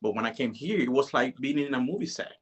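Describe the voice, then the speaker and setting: A man, telling his life story as an interview guest in a relaxed, hesitant way. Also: medium pitch, fast, slightly rough, dull audio. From Dominican Republic. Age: 30s. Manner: relaxed, hesitant, many "you know"s.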